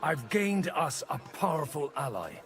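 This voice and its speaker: An actor playing a shrewd, worldly priest in a medieval video game, wry and persuasive in manner. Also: male voice, on the high side, big pitch swings, measured pace, fairly bright tone, soft background music, heavily acted. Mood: persuasive, pleased. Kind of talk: dramatic video game voice acting.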